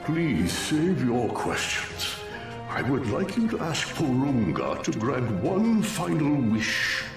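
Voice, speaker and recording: A man playing an aged, gentle alien patriarch in a video game cutscene, weary and solemn in a grave, measured way. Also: medium pitch, huge pitch swings, music in the background, full character voice. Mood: solemn, urgent. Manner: slow, grave, measured delivery.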